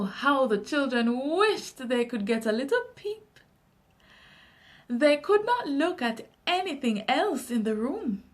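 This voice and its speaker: A woman with a Jamaican accent reading a children's story aloud in an expressive, unhurried way. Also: high-pitched.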